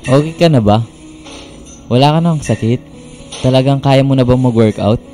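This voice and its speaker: A man acting a tender, teasing young suitor in a Filipino radio drama, gentle and coaxing. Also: medium pitch, normal speed, soft background music, close to an everyday voice. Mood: tender, caring.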